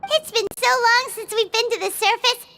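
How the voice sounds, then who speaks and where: A woman voicing a small, excitable childlike fairy companion in a video game, squeaky and bubbly, referring to herself in the third person. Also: moderate pace, heavily acted. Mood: excited. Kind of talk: video game character acting. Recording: music behind the voice.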